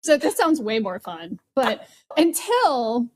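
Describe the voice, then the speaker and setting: A woman's voice: fairly high pitch, very animated, takes it slowly, darkish tone. A woman co-hosting a podcast, chatting casually and recounting news stories. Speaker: podcast co-host.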